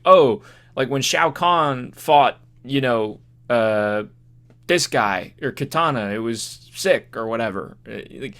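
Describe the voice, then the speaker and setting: A man's voice: mid-range voice, a bit bright. Casual man chatting as podcast co-host. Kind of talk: casual movie discussion podcast.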